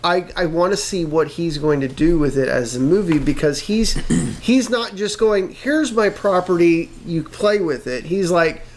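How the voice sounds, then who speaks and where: A man's voice: a little high, expressive, a little slow, few pauses. A man co-hosting a casual talk show, giving his opinions conversationally. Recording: noticeable background noise.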